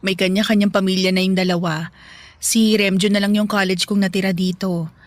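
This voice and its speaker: A woman's voice: medium pitch, flat delivery, a little fast, no echo, natural voice. An actress playing a polite, proud middle-aged neighbour lady in a radio drama, mixing in English phrases. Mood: politely proud. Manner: gracious, composed, English phrases mixed in. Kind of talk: Tagalog radio drama acting.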